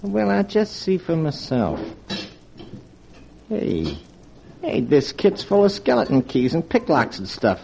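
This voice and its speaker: A man's voice: on the high side, wildly swooping pitch, takes it slowly, gravelly, long pauses, a little mellow, a little hiss or hum, exaggerated voice. An actor playing a tough male street robber with streetwise gangster slang, in a 1940s radio drama. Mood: menacing. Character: tough-talking male street stick-up man. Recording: dull vintage radio recording with music.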